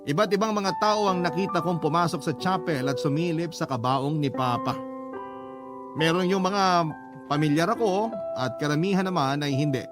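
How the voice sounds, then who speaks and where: A man narrating a scripted first-person story, read as a letter for a radio drama. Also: on the high side. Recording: prominent music bed under voice. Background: loud instrumental music.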